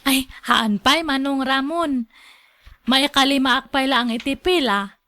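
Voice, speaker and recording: An actress with an Ilocano accent playing a coy, hardworking young village woman with hesitant, drawn-out interjections in a radio drama. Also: high-pitched, sharp tone. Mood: coy, friendly.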